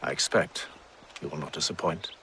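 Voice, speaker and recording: An actor playing a stern, formal Templar Grand Master in a video game, speaking with deliberate authority. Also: male voice, a bass voice, fairly even intonation, bright, forceful tone, slight background noise. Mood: solemn, authoritative. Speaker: senior Templar commander. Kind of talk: dramatic game cutscene acting.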